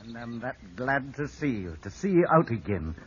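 An actor playing a rustic, deferential old manservant with a husky voice in a radio drama. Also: male voice, medium pitch, big pitch swings, normal speed, slight background noise, close to an everyday voice. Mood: deferential, eager. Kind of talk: 1950s radio acting. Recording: muffled old radio broadcast.

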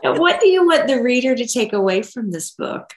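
A woman's voice: fairly high pitch, big pitch swings, a little fast. Echoey woman podcast host. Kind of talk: podcast interview questions. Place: indoor room with framed records on the wall, on a video call. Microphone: video call. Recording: roomy, echoing video call.

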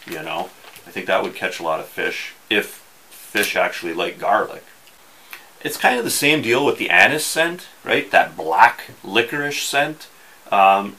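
A middle-aged man talking casually to the camera as host of an outdoors channel, with a folksy, wry, halting delivery. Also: lowish voice, pushed and bright, a little hiss or hum, rough recording. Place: small indoor workshop.

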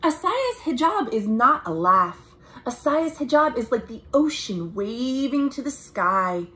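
A young American woman reads a picture book aloud to children, expressive and deliberate, with friendly asides like a storytime librarian. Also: sits high. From United States. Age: young adult. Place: small room at home.

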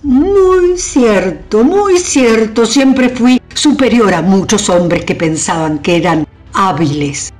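A woman's voice: medium pitch, animated, takes it slowly, darkish tone. An actress playing a proud, domineering older queen in a historical radio drama, with a theatrical, scornful delivery. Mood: taunting, proud. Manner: theatrical, emphatic repetitions, haughty. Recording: music under the voice.

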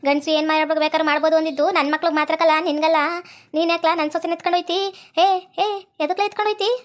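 Brief: a woman as a rapid-fire, very high-pitched older mother-in-law, scolding. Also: steady tone, close to an everyday voice. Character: shrill scolding old village mother-in-law. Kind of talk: comedy skit acting.

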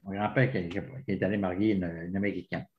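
A man's voice: sits low, fairly even intonation, fast talker. Muffled, roomy voice of a man interjecting. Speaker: panel participant. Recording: muffled, roomy, faintly noisy call audio.

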